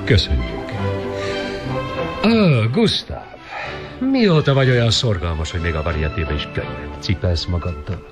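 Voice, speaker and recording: An actor playing a courteous, playfully pompous figure in a Hungarian radio play, with formal, ceremonious phrasing. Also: male voice, sits low, big pitch swings, long pauses, over music. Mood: courteous, wry.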